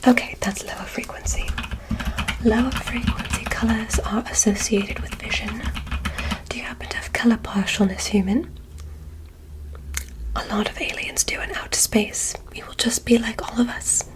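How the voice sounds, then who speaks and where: A woman voicing a curious, polite alien examiner in a whispered ASMR roleplay, speaking in a soft, deliberate, clinical manner. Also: fairly high pitch, fairly even intonation, natural voice, poor audio. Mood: curious, gentle.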